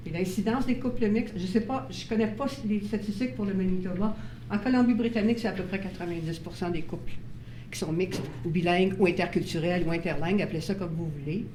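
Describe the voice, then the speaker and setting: A woman presents to a room of parents, explaining step by step and often checking that they follow. Her voice is mellow and soft-edged. It carries the echo of a meeting room, picked up from a far mic with faint noise behind. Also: fairly low pitch, quick pace. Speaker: workshop presenter on child bilingualism. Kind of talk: live workshop talk to parents. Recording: roomy sound from a distant mic, faint noise.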